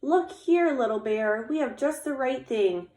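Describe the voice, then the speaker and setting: Woman as a high, eager little woodland animal. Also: lively intonation, measured pace, darkish tone, reverberant. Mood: eager, helpful. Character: small, friendly, helpful woodland animals offering gifts.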